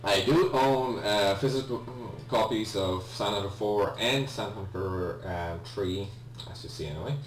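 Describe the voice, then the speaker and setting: Young polish guy talking through a sub game, lots of ums, deep voice, echoey room far from the mic, faint hum. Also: a little slow, hardly any pauses. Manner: hesitant, many ums and restarts, apologetic. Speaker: let's play gamer. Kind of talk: casual gameplay commentary.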